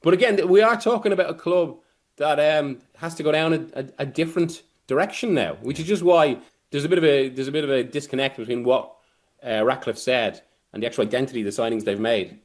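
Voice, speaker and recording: A man giving football analysis as a guest journalist, rambling and often interrupting himself as he works through a point. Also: mid-range voice. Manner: halting, rambling, self-interrupting delivery. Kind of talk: sports discussion, analysis.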